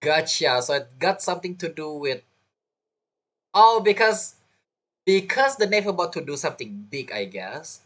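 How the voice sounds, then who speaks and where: A man's voice: fairly high pitch, big pitch swings, normal speed, a bit bright. A man giving casual gameplay commentary, musing in a rambling, informal way. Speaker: gaming video creator.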